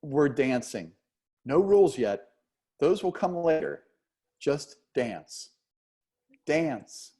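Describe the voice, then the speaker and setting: A man's voice: a little high, very animated, slow, pauses often, warm tone, exaggerated voice. A man reading a play as a charming, patient, flirtatious dance teacher who teases and encourages his pupil. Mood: warm, encouraging. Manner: teasing, encouraging. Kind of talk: play reading, romantic comedy.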